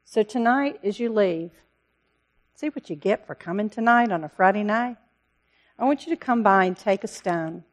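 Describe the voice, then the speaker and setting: A woman missionary giving an earnest, pleading talk to a church congregation, with a loud voice. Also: mid-range voice, lively intonation, moderate pace. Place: church service.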